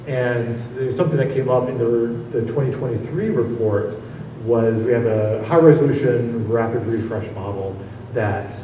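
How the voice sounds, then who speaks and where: A man speaks in a conference panel, his voice distant and caught in a big echoey hall. He rambles through his answer, restarting phrases and chuckling now and then. A steady wash of room noise sits under him. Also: mid-range voice, normal speed. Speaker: weather service forecaster on a panel. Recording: echoey hall from far off, noisy.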